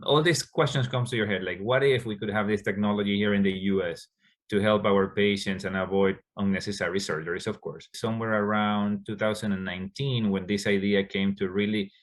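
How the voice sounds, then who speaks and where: Ecuadorian guy, spanish first language, lowish flat voice, lots of uhs and restarts. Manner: halting, many fillers and restarts. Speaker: endocrinologist guest. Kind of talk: interview answers.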